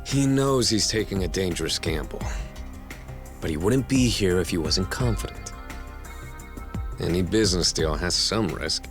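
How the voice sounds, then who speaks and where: A smooth underworld fixer in a game dub, loyal to his boss. His voice is low, and he speaks casually with coy confidence. Music sits faintly behind him. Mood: grave, firm. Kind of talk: English video game dub acting.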